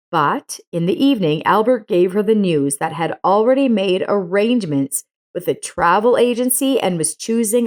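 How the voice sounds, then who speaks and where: A woman reading a scripted story as its narrator. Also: mid-range voice.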